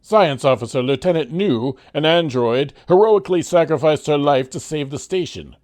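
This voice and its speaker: A man's voice: a little high, normal speed, a little mellow, no echo. A man reading scripted narration in the style of an old radio serial. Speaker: narrator of an audio drama.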